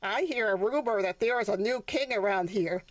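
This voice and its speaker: A woman's voice: mid-range voice, normal speed, no room sound, lightly acted. A woman voicing a boastful, clever male donkey in a children's storybook reading. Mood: informative.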